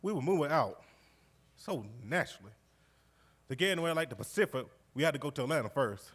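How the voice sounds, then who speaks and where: An actor playing a jovial, warmhearted World War II veteran from Georgia with a Southern accent, spinning his memories in a folksy, exuberant way on stage. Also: fairly high pitch, sing-song, a little fast, breathy edge, heavily acted. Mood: reminiscing, earnest. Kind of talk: live stage storytelling monologue, conversational. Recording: live stage recording in recreation hall.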